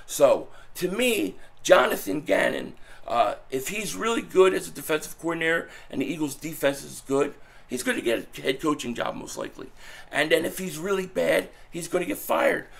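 A man in his thirties, hoarse from losing his voice, giving animated casual sports commentary from a small room at home. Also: fairly high pitch, moderate pace. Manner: animated, fan talk with frequent restarts. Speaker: sports vlogger.

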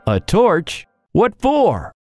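A woman voicing a small, helpful, slightly puzzled navigator gadget in a children's cartoon, with a deliberate, swooping delivery. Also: medium pitch, huge pitch swings, darkish tone, exaggerated voice. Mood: puzzled, obliging. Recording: music behind the voice.

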